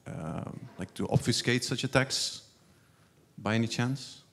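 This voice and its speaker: A young man in a lecture hall audience asking a question hesitantly, with many pauses and fillers. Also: medium pitch, creaky, a bit bright.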